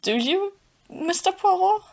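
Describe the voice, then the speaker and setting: A woman's voice: extremely high pitch, lively intonation, a little slow, gravelly, a little mellow, heavily acted. A woman playing a distraught young woman desperately devoted to her lover in a melodramatic audio play. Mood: grieving, grateful. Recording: muffled, dull lo-fi recording.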